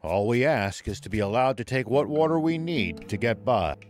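An actor playing a stern, principled old sheriff in a video game cutscene, speaking formally and with resolve. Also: male voice, medium pitch, expressive, moderate pace, a little mellow, soft background music, lightly acted. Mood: resolute.

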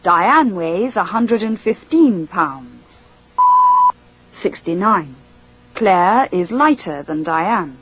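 A woman reading a scripted language course drill, slowly and with careful enunciation. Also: lowish voice, expressive, slightly rough. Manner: slow, deliberate, carefully enunciated.

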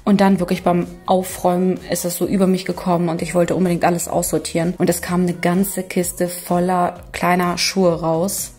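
Woman doing a calm voice-over, low flat husky voice, music under it. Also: moderate pace, hardly any pauses. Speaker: home vlogger. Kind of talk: voice-over narration.

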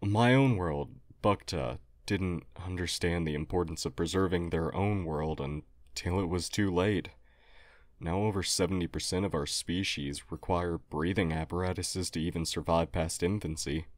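A man playing a polite, earnest, apologetic humanoid alien in an audio roleplay, speaking formally and haltingly. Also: low-pitched, no room sound, studio quality. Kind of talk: audio roleplay acting addressed to listener.

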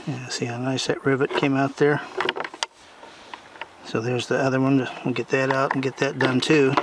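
An older man talks through a repair job in a folksy, rambling way, standing out in his backyard. The camera's mic picks him up from a few steps off, and steady outdoor noise sits under his voice. His pitch is ordinary for a man. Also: normal speed, far from the mic. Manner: folksy, rambling, plain-spoken. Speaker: homesteading channel host. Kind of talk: casual how-to demonstration. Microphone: camera mic from a distance.

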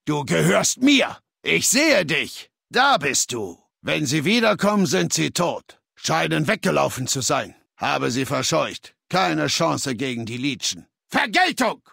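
A menacing, zealous male soldier in a video game, firing off aggressive combat barks. His voice is raspy and gravelly and swings widely in pitch. The threats come out slowly and hard. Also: big pitch swings, pushed and bright, no room sound, studio quality, full character voice. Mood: threatening. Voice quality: rough, gravelly.

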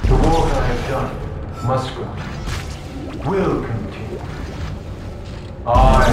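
A man's voice: mid-range voice, noisy background, natural voice. Echo-drenched fanatical doctor, played by a man. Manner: pompous, sermonizing. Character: grandiose fanatical male doctor preaching doom as salvation. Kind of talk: video game villain monologue. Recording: very echoey, distant, under loud music.

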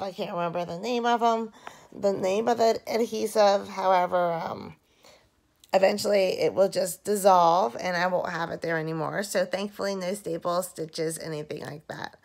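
A woman in her thirties speaks hoarsely with a dry, gravelly rasp, worn out and drowsy. Her pitch sits at an ordinary middle. She rambles slowly through a casual video diary into a phone held at arm's length while lying in bed at home. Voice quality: dry-mouthed, rough voice. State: hoarse and very tired after surgery. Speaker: vlogger.

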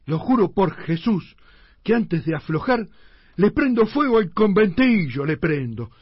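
Comic radio play: stubborn, cocky Buenos Aires tenement fellow as played, Argentine accent, unhurried, swinging pitch. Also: very animated, breathy edge, no echo, dull audio. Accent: Argentine, Buenos Aires slang. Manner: slangy, emphatic, drawn out.

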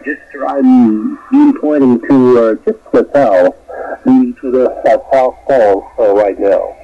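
A man with a Japanese accent making a polite, halting amateur radio contact, heard over shortwave. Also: medium pitch. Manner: halting, polite, formal courtesies. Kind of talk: radio contact chat, phonetic spelling. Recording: dull, noisy shortwave radio reception.

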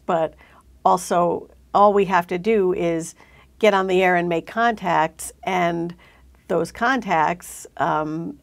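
A woman's voice: fairly low pitch, vocal fry. A middle-aged woman hosting a conversational interview, recorded indoors in a studio on a lapel mic. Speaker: interview host. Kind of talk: conversational interview on a hobby show.

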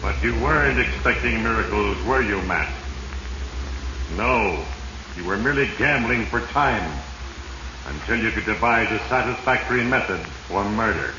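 An actor playing Fate, a sinister male narrator of a 1940s radio drama, ominous and deliberate as he addresses his victim. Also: on the low side, highs cut off, natural voice. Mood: ominous, taunting. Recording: echoey, noisy 1940s radio recording.

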